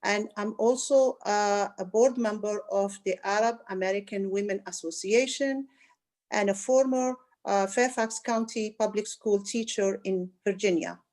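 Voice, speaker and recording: A woman's voice: mid-range voice, steady tone, measured pace, a little mellow. Woman from Algeria, former teacher, plain hesitant presenter. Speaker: former school teacher, board member. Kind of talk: conference presentation.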